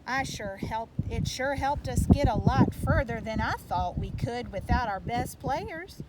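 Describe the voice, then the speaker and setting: Woman playing a storybook dad, proud baseball coach, folksy, loving, unhurried, loud background noise. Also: fairly high pitch, animated. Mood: tender, encouraging. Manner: folksy, drawling phrasing. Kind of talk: storybook read-aloud.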